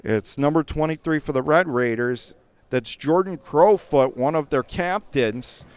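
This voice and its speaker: An American man calling live basketball play-by-play for radio in clipped phrases that rise with the action, from a high school gym. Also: unhurried, clean tone. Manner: rattles off clipped phrases, rising to excited calls on plays. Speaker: play-by-play commentator. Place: indoor high school gymnasium.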